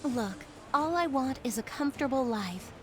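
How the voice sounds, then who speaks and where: A woman's voice: high-pitched, expressive, normal speed, music in the background. A woman voicing a young, cold and arrogant empress in a game, speaking in a haughty, dismissive way. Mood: coldly warning.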